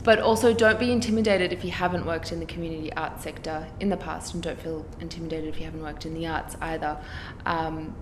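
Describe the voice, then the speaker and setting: A woman's voice: low-pitched, fairly even intonation, fast, slight background noise. A woman, speaking earnestly about a mentoring program in an interview. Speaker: program graduate. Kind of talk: interview testimonial.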